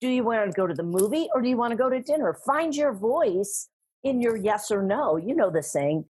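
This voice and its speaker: A middle-aged woman talking about sales and speaking in an interview, animated and emphatic, laughing often. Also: a little high, a little fast, slightly rough. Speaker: guest, sales trainer and speaker. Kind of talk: conversational interview.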